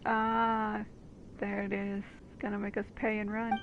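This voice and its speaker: A woman's voice: mid-range voice, monotone, unhurried, highs cut off, slight background noise. A woman giving casual commentary as she plays a game, blunt and sarcastic. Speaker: gamer reacting to a game.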